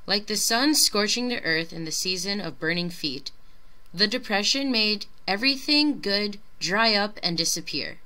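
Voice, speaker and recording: A woman reading a children's picture book aloud in an expressive, dramatic storytelling manner with clear phrasing. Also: mid-range voice, moderate pace, rough recording. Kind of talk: expressive scripted storybook narration.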